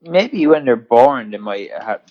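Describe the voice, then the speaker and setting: A man's voice: mid-range voice, expressive, unhurried, dull audio. A man co-hosting a podcast in casual, informal chat. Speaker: podcast co-host.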